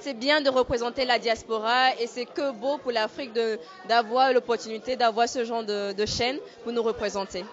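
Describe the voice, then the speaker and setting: A woman who was a pageant runner-up, answering calmly with short sentences and some fillers. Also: fairly high pitch, normal speed, few pauses. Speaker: former pageant runner-up, guest. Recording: muffled lo-fi event audio.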